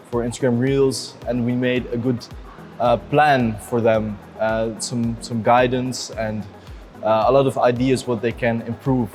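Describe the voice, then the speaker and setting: A man's voice: mid-range voice, a little mellow. A nineteen-year-old man giving a casual testimonial as a project participant, hesitant and searching for words. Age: young adult.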